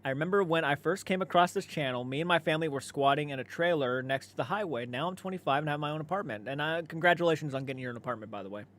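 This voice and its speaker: A man's voice: on the high side, racing, few pauses, fairly bright tone. A man, maybe in his thirties, chatting casually as a livestream host, laid-back and conversational, swearing freely and responding to viewer comments.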